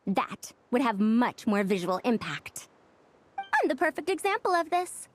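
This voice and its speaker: A woman's voice: a little high, big pitch swings, unhurried. A woman voicing a proud, fussy, youthful-looking senior scholar who lectures emphatically in an anime-style video game. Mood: lecturing. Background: background music.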